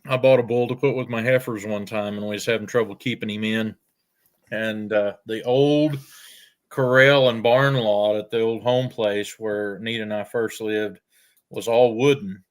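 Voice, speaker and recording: An older man, telling farm stories in a folksy, unhurried way with frequent pauses. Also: on the low side, fairly even intonation. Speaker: storyteller guest. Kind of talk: casual storytelling.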